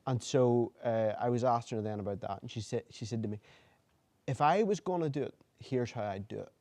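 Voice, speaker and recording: A man's voice: medium pitch, brisk, darkish tone. A man, a filmmaker on a festival panel, talking through his process with many restarts and fillers. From Northern Ireland. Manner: rambling, lots of restarts and "you know". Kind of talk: panel discussion.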